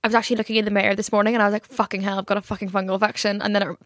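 A woman's voice: medium pitch, flat delivery, racing, fairly bright tone, dry recording, studio quality. A young woman hosting a podcast in a casual chat, swearing freely and laughing often. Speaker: podcast host.

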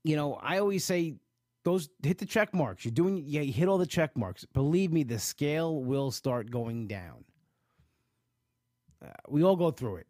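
A man's voice: fairly high pitch, lively intonation, a little slow, darkish tone, no echo. Guy with a stuffy nose rambling to his viewers, lots of you knows, dry close sound. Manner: rambling, frequent restarts and "you know". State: stuffed up from allergies. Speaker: weight-loss vlogger. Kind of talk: casual livestream chat reading viewer comments.

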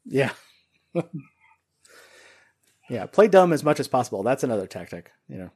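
A man's voice: mid-range voice, sing-song, moderate pace, a little mellow, breathy edge. Folksy man hosting. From Louisiana, United States. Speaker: podcast host interviewer. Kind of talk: casual chat interview.

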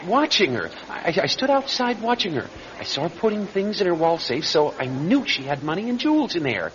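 A man's voice: sits high, big pitch swings, brisk, fairly bright tone. An actor in an old radio crime drama playing a timid, eccentric man who is eager to have his confession believed, speaking hesitantly and earnestly. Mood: aggrieved, confessing. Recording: dull old radio recording.

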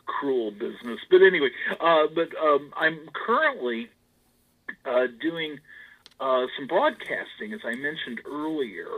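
Loud guy telling stories, husky, big swings in his voice, laughs a lot. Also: mid-range voice, low-quality recording. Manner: loud, animated storyteller, laughs often; acts out quoted voices. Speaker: author and podcast guest. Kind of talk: casual interview chat.

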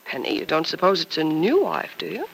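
An actress playing a kindly, sensible nurse and old friend in an old radio soap opera, reassuring and gently probing. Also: female voice, deep voice, sing-song, brisk, vocal fry, exaggerated voice. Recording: noisy vintage radio drama recording.

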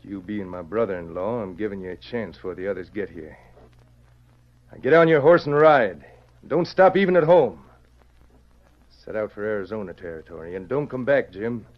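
A man's voice: lowish voice, expressive, moderate pace, lightly acted. An actor playing a suspicious, commanding American frontiersman in a radio western, terse and pointed in his delivery. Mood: stern command. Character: cool, suspicious, commanding frontier brother-in-law. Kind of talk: old-time radio western acting. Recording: dull old radio recording.

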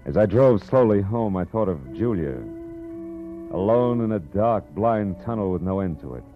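Man doing a hard-boiled detective, deep, dry and brooding, 1940s radio noir, dull old sound, faint music. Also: expressive, moderate pace, natural voice. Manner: dry, brooding, tough-talking. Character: hard-boiled male private eye, world-weary and calm.

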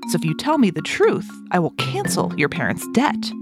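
A woman's voice: on the low side, huge pitch swings, moderate pace, music in the background. A woman voicing an angry, greedy rich man who barks and growls demands in a children's folktale. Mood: angry, bargaining.

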